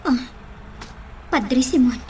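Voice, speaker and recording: A woman's voice: on the high side, slow, mellow, slight background noise. An actress playing a concerned young woman in a radio drama, with music playing behind her. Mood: worried.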